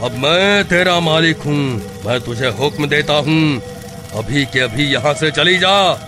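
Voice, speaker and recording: A man's voice: lively intonation, a little slow, music in the background. A man voicing a pompous, bossy village sorcerer in a horror-comedy cartoon, imperious and given to chanting. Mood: commanding. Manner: booming chants and imperious commands.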